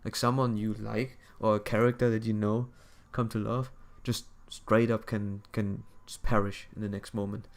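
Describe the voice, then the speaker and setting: A young man livestreaming a game, chatting casually in a halting, rambling way and often repeating his phrases. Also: on the low side, little pitch movement. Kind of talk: casual chat while gaming.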